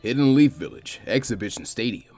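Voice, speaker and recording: A man reads a story aloud at a brisk clip. His mid-pitched voice is lively and expressive, following a script as narrator. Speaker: fan fiction reader and narrator.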